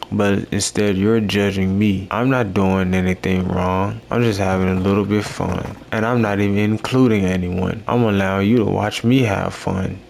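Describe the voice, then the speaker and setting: A man with a very deep voice and a gravelly rasp to his tone drifts through improvised gameplay commentary. He meanders from thought to thought in a slow, deadpan, self-amused way. A faint noise floor sits under the recording. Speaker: gaming YouTuber.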